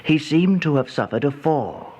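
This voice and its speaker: An actor playing an eerie séance host in old-time radio horror, coaxing and hesitant, with hushed asides. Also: male voice, on the high side, animated, moderate pace, breathy edge. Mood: sly, solemn. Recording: dull vintage radio recording, music behind.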